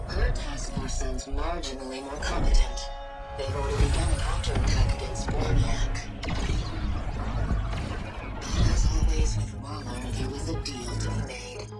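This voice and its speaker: A low female voice, slightly distant under loud music. a coldly rational scientist from a video game, who speaks in measured, formal phrases with devotion held under the ice. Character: coldly logical woman scientist devoted to her frozen wife. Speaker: lead character in a video game cutscene. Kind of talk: dramatic game cutscene narration and dialogue.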